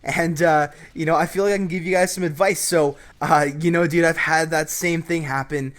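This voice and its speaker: Young male host, cocky and jokey advice delivery, slightly raised pitch. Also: steady tone, normal speed, fairly bright tone. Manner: brash, joking, with swearing. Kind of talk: casual advice commentary over gameplay.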